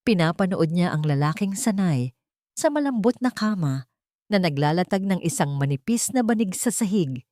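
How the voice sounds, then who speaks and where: Low-voiced woman narrating a romance. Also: moderate pace, no echo, clean studio sound. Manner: steady, dramatic storytelling. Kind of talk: scripted narration of a romance story.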